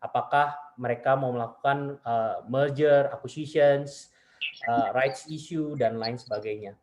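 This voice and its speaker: A man's voice: mid-range voice, steady tone, moderate pace. A man from Indonesia, a venture capitalist, speaking casually and switching between Indonesian and English with hesitant fillers. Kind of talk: casual career talk on a video call.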